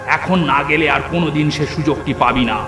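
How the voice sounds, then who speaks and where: A man voicing a protective, anxious ghostly uncle in a horror cartoon, speaking earnestly and deliberately. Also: fairly high pitch, natural voice. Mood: grave warning. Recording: echoey voice under loud music.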